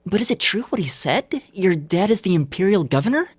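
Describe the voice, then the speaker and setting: A woman's voice: mid-range voice, big pitch swings, brisk, slightly rough, no echo, soft background music. A woman voicing a timid, friendly young male student in a video game, with a mild, hesitant delivery.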